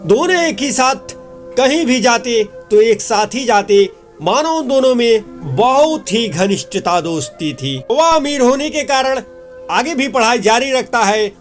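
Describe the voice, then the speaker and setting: Guy narrating a kids moral cartoon story, pretty high voice, faint music behind. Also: expressive, a little slow.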